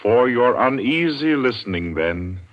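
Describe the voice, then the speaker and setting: A man's voice: medium pitch, animated, measured pace. A man announcing and narrating a 1940s radio drama in a formal, theatrical way. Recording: dull lo-fi old radio recording with music.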